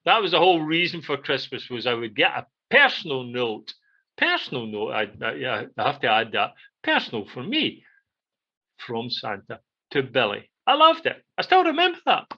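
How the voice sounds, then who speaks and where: An older man with a Scottish accent casually reminiscing and telling stories in an animated way, repeating phrases for emphasis. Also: medium pitch, moderate pace. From Scotland. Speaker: podcast co-host. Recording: dull, muffled lo-fi audio.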